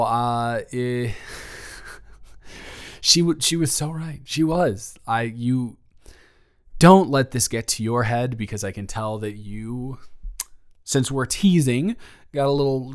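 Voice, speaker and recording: A man acts out a friendly male office boss with a mid-range voice. He speaks in a halting, conversational way, restarting his phrases as he goes. It is an intimate audio roleplay, addressed to a new intern with a flirty, slightly nervous excitement. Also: mid-range voice, close to an everyday voice. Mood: flirty, amused. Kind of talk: improvised romantic audio roleplay, spoken to the listener.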